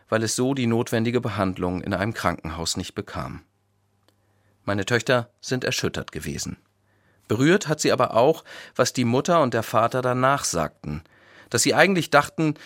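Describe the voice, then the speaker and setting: A male pastor giving a scripted radio reflection, telling his account in measured sentences. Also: medium pitch, sing-song, a bit bright, no echo, studio quality.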